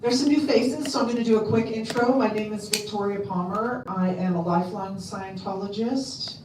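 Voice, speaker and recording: A woman with a loud voice giving a casual talk to a convention audience, chatty and full of ums and restarts. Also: medium pitch, moderate pace, noisy background. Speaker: convention speaker. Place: convention hall. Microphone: handheld mic on a stand. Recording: echoey hall, mic at a distance.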